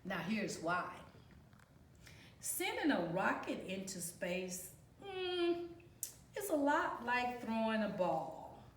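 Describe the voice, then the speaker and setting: A woman reading a children's picture book aloud in a deliberate, explanatory, teacherly way, as if to young students. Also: a little high, very animated, a little hiss or hum. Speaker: volunteer storybook reader. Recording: roomy echo, distant microphone.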